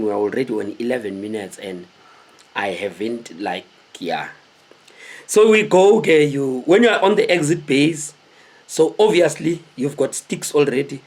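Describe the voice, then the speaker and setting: A South African man in his thirties tells a story casually to camera, animated and digressive, repeating words for emphasis in a husky voice. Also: medium pitch. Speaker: vlogger telling stories. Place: small indoor room with a cloth backdrop.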